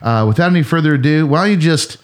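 A man's voice: fairly high pitch, animated, quick pace. A man who is a pastor, hosting a casual podcast in a playful, rambling way and laughing often.

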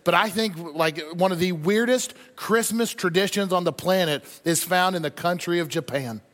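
Male pastor, high-pitched voice, chatty informal sermon delivery with repeated phrases, long hall reverb. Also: normal speed, a bit bright. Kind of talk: conversational sermon to a congregation. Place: large indoor church hall.

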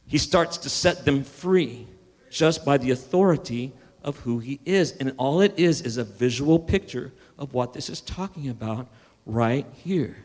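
A man's voice: medium pitch, a little mellow, in a large hall. A man preaching a sermon, building emphasis and sometimes restarting his words. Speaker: pastor.

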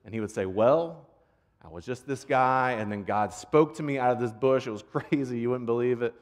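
A man's voice: mid-range voice, normal speed. A man preaching as a pastor in an informal, earnest, conversational way, repeating phrases for rhythm as he tells stories. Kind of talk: conversational sermon with prayer and storytelling. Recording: long hall reverb.

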